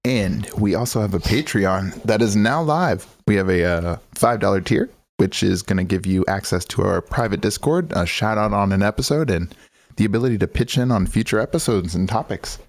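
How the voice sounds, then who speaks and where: A man co-hosting a podcast in casual chat, energetic and rambling, speeding up when he makes a point. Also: on the low side, moderate pace. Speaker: podcast co-host.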